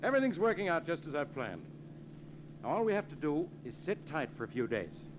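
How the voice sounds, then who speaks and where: A noisy vintage radio thriller recording carries a scheming male murderer. His voice sits fairly high and swings with theatrical self-assurance as he coolly gives orders. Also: very animated, a little fast. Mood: smug, calculating. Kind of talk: 1940s radio mystery acting.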